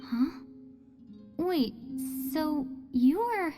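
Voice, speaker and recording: A woman's voice: sits high, very animated, drawn-out words, over music, heavily acted. A woman voicing an earnest, loyal young traveler heroine in a video game, with a youthful manner. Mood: friendly, surprised.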